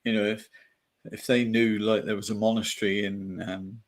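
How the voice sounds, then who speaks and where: A man's voice: on the low side, flat delivery, moderate pace. A man with a Devonshire accent, co-hosting a podcast in casual film chat, hesitant with many fillers and restarts. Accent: Devonshire English. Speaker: podcast co-host.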